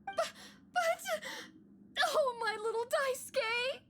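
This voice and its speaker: A woman playing an anxious, soft-hearted village mother in a fantasy game, earnest and emotional. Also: very high voice, expressive, takes it slowly, background noise, heavily acted. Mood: tearful worry.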